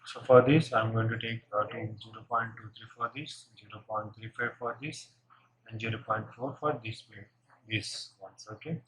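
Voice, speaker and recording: A man narrating a step-by-step software tutorial, unscripted and halting as he thinks aloud while working. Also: on the low side, steady tone. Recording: noisy room, keyboard and mouse clicks.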